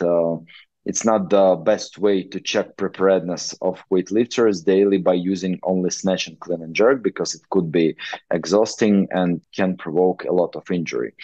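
A middle-aged man from Ukraine with a Ukrainian accent, a non-native speaker giving an online lecture as a weightlifting coach, in halting English full of fillers. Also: low voice, mellow, dry recording. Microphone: video call. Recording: dull lo-fi video call audio.

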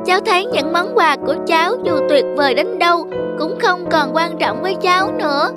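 Woman as a very high-pitched, sweet little cartoon boy. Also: animated, fairly quick. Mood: wistful. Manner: childlike, earnest, polite. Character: sweet, lonely, generous little rich boy. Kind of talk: cartoon voice acting. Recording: music behind the voice.

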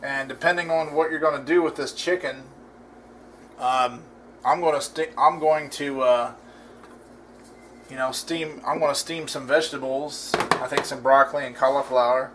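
A man hosting a casual home cooking demo in his kitchen, talking in a relaxed, rambling way with lots of fillers and restarts. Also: mid-range voice, moderate pace, slight background noise. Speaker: cooking channel host.

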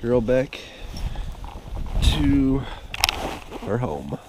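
Male angler, casual self-talk from a kayak, medium pitch, recorded on an action cam with heavy wind and water noise. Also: very slow. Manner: muttering to himself and the fish, bursts of excitement. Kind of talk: casual fishing vlog commentary. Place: kayak on an open lake, outdoors. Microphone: action camera mounted on the kayak. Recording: loud wind and water noise on a camera mic.

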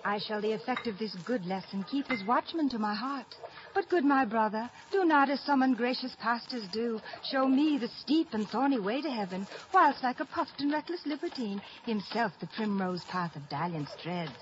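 A woman acts a gentle, dutiful young maiden in classical verse. Her voice is on the high side and earnest. The recording is a dull 1950s radio broadcast with faint hiss. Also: expressive, normal speed, close to an everyday voice. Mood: gently teasing. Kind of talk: 1950s radio Shakespeare acting. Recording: muffled, noisy old radio broadcast.

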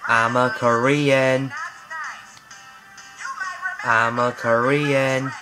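A man's voice: medium pitch, little pitch movement, extremely slow, low-quality recording. A young man in his late teens sings casually along to a comic parody song, chanting its repeated hooks, recorded on a laptop webcam in a small bedroom. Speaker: amateur singer. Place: small bedroom with bright yellow walls. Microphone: laptop webcam at close range. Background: loud backing music.

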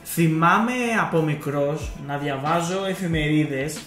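A man's voice: on the high side, a bit bright. A man co-hosting a casual football podcast, chatty and reminiscing with joking asides. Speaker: podcast co-host. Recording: echoey room with faint music.

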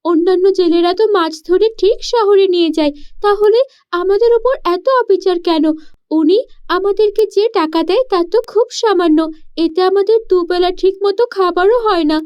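A woman's voice: extremely high pitch, normal speed, muffled recording, voice pushed up. A woman voicing a poor, spirited village girl in a cartoon story, earnest and devoted to her family. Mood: indignant. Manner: earnest, protesting, questioning.

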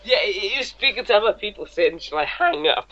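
A man's voice: high-pitched, lively intonation, a little fast, dull audio, a loud crowd. A young man hosting prank calls, chatting casually with friends on an online group call. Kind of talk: casual chat among friends. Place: indoors, on a video call.

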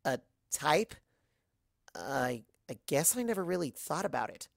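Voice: mid-range voice, big pitch swings, unhurried, vocal fry, dry recording, studio quality. A narrator voicing a shy, bookish young mare pony who is flustered, hesitant and reasonable.

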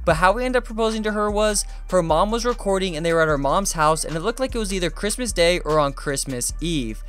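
A man narrating a countdown list video in an energetic, chatty way, often addressing viewers as "you guys". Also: high voice, fast, smooth, faint music behind. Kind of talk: fast upbeat countdown narration.

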